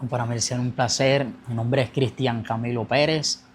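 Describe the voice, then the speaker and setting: A man's voice: medium pitch, steady tone, normal speed, a little hiss or hum. A young man telling his personal faith testimony as a guest, earnest, speeding up as he recounts his past. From Colombia.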